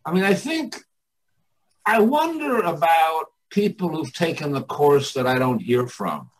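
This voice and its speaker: An older man, a coach and mentor, talking casually and reflectively in a candid way with pauses. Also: a little high, sing-song, poor audio.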